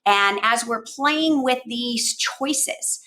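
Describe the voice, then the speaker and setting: A woman giving conversational instructional advice to teachers in an emphatic, animated manner, often posing rhetorical questions. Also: a little high, very slow. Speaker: education consultant answering teachers' questions. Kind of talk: conversational instructional talk to camera.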